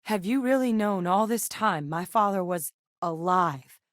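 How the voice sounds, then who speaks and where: A woman voicing a steady soldier-scientist. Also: mid-range voice, dry recording, natural voice. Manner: measured, sincere, soldierly. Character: seasoned female soldier-scientist, earnest and steady. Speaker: game character voice actor. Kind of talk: studio video game dialogue. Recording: dry studio recording.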